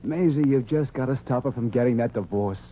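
A man's voice: mid-range voice, fairly quick, close to an everyday voice. Guy acting plain-spoken lovelorn husband, american, plaintive, 1940s radio comedy, dull hissy recording. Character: lovelorn, earnest working-class husband, an old pal.

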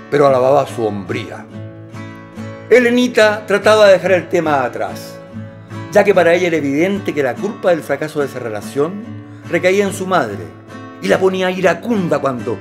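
An actor with a Chilean accent playing a genial host who narrates a radio comedy in a theatrical style. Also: male voice, a little high. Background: guitar music.

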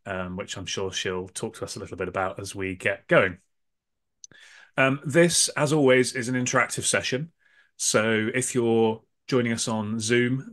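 A man's voice: lowish voice, a bit bright. A man in his forties hosting a video call panel, upbeat and full of "uh" and "um" fillers. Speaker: podcast host.

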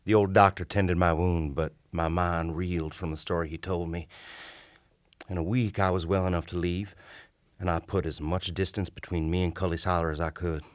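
An actor playing a haunted, wounded Civil War soldier who narrates a radio drama in a grave, formal, measured manner. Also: male voice, sits low, fairly even intonation, no room sound, lightly acted. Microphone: shared vintage-style studio microphone.

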